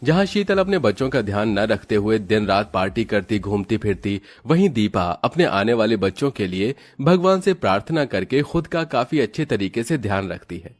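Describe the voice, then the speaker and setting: A man narrating an animated moral tale for children in a scripted storytelling style. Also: lowish voice, normal speed, few pauses, soft background music.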